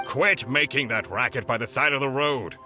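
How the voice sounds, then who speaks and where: An actor voicing a gruff, snobbish middle-aged uncle with a grumbling, dismissive manner. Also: male voice, mid-range voice, normal speed, bright, forceful tone, soft background music.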